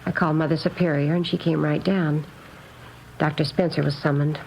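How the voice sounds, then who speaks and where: An actress playing an earnest, naive and very proper nun in a 1950s radio drama, speaking in careful, precise phrases. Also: female voice, sits low, steady tone, fairly quick, gravelly, darkish tone. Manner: prim, proper, carefully enunciated. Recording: dull old radio recording, faint noise.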